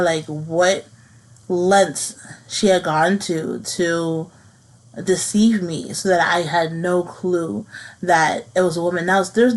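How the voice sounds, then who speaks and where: A woman in her thirties hosting her own podcast, speaking earnestly and haltingly about something personal, repeating phrases as she works through it. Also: on the low side, low-quality recording. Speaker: podcast host. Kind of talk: personal reflective monologue.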